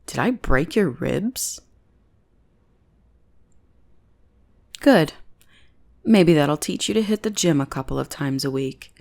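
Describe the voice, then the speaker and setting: A woman's voice: deep voice, animated, unhurried, creaky, a little mellow, exaggerated voice. A woman playing a bossy, teasing goth bully who is secretly smitten, in an intimate ASMR roleplay. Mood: smug teasing. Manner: mocking, drawling, intimate teasing. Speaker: voice actor in audio roleplay.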